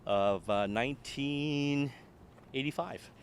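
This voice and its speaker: A Canadian man in his late sixties, a retired shop owner, telling stories about his family business in a relaxed way and laughing at his own jokes. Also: medium pitch, takes it slowly, slight background noise. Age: older. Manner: chuckling, self-deprecating. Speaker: guest. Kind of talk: casual storytelling.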